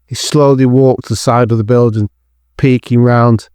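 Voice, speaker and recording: A man's voice: mid-range voice, steady tone, normal speed, darkish tone, clear, resonant tone, no echo, clean studio sound. A man reading scripted narration for an audio drama as its narrator.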